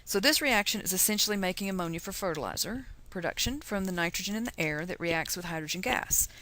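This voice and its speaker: A woman's voice: lowish voice, animated, fast talker, sharp tone. A woman with a Texan accent giving an informal recorded lesson as a teacher, chatty and folksy as she explains. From Texas, USA. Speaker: chemistry teacher.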